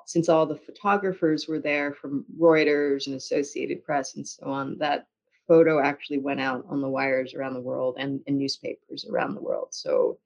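A woman with an American accent telling her story conversationally as an interview guest, in a measured way with frequent pauses. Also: rumbling low, vocal fry, a little mellow. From United States. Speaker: interview guest, journalist and author. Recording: dull, lo-fi audio.

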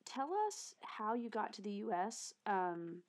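A woman's voice: mid-range voice, a little slow. A woman hosting a podcast interview, speaking in an upbeat, encouraging way. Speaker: podcast host. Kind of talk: warm podcast interview and introduction.

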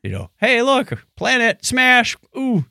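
A fast-talking man co-hosting a casual science chat, animated and given to acting out little quips. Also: very high-pitched, gravelly, no room sound, clean studio sound. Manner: animated, acts out quips in mock voices. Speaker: podcast co-host.

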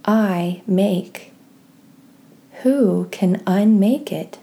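A woman leading a guided meditation and scripture reading in a gentle, soft-spoken, measured way with pauses. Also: medium pitch. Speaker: host of a guided prayer podcast.

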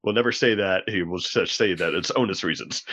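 A man's voice: deep voice, moderate pace, sharp tone, husky. A man on a podcast panel explaining ideas analytically, piecing them together as he goes, on slightly lo-fi audio.

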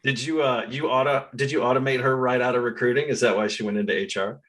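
A man hosting a podcast, chatty and lively, with frequent filler sounds. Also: mid-range voice, quick pace. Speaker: podcast host. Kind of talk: casual interview chat.

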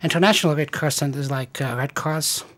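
A man from Afghanistan with an Afghan accent, speaking as a guest in a radio interview, halting and careful, with frequent pauses and fillers. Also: fairly high pitch, a bit bright. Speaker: guest, cancer researcher.

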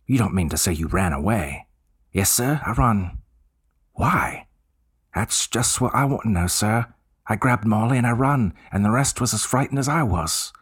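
A man voicing a young male Cockney bellboy who speaks bluntly with rough, dropped grammar. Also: fairly low pitch, lively intonation, normal speed, pitched above the performer's own voice. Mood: rattled.

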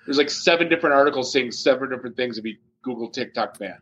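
An American man with a medium-pitched voice chats casually as a tech show co-host. He is blunt, sardonic and offhand, with casual swearing. A faint noise sits behind his voice. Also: quick pace, fairly bright tone, muffled recording. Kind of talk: casual chat.

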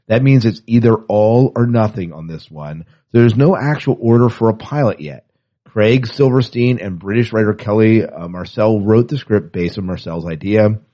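A man's voice: fairly low pitch, dark tone. A man co-hosting a podcast in casual, opinionated chat with wry asides, reading and commenting on news. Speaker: podcast co-host.

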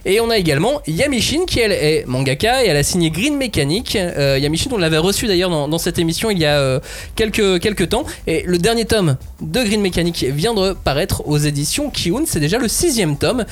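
A young man hosting a panel podcast, lively and jokey with theatrical emphasis. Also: high voice, a little fast. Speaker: host.

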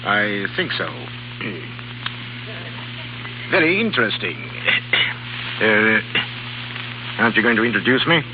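An actor playing a suave, measured, courteous aristocratic baron in a 1930s radio drama. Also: male voice, lowish voice, big pitch swings. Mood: suave, appraising. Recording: noisy, muffled 1930s radio recording.

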